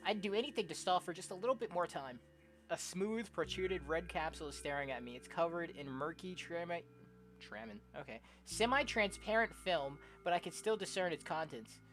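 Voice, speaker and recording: A woman streamer doing a let's-play, reading visual novel text aloud with casual, slangy, expressive delivery. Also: fairly low pitch, a little fast. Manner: expressive, often giggly, slangy. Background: game music.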